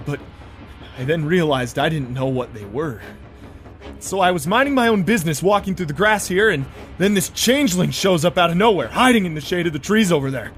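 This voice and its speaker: A man's voice: fairly high pitch, fairly bright tone. A man playing a paranoid, agitated pony prince who rants defiantly in a cartoon fan drama. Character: frantic, paranoid pony prince firing magic at imagined foes. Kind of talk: animated fan audio drama acting.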